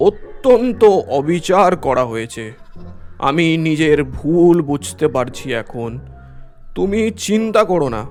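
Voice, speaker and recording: A man voicing a stern, indignant village headman in a cartoon, scolding with authority and drawn-out emphasis. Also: fairly high pitch. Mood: contrite. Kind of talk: cartoon voice acting.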